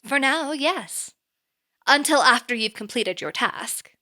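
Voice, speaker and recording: A woman playing a poised, reserved boss in an audio drama, speaking formally and in a measured way. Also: high voice, lively intonation, bright, forceful tone, exaggerated voice. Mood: cool, businesslike.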